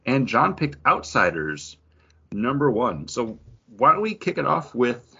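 Bright, animated man hosting a podcast. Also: medium pitch, measured pace, creaky, breathy edge, dull audio. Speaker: podcast host introducing the main topic. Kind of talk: casual chat.

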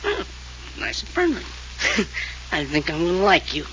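Job, a tipsy mocking drunk. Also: male voice, high voice, wildly swooping pitch, measured pace, pushed and bright, full character voice. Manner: tipsy, drawling, chuckling mockery. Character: drunken sardonic man of the house, flippant and mocking. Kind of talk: 1940s radio acting. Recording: dull lo-fi old radio transcription with faint hiss.

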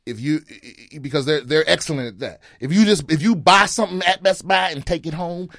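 A man hosting a casual chat, ranting in a profane, animated way and repeating himself. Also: a little high, fairly quick, gravelly, no echo, studio quality. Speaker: comedian podcast host.

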